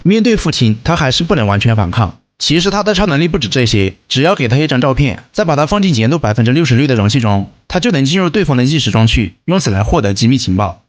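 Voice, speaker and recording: A man's voice: mid-range voice, very animated, quick pace, few pauses. A man reading a scripted film recap as narrator.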